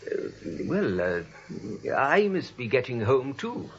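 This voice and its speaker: A man's voice: medium pitch, very animated, takes it slowly. A man playing a kindly, proper, slightly hesitant doctor in a 1940s radio play. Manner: mild, hesitant, polite. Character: kindly, proper gentleman, a mild-mannered doctor. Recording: dull old radio recording.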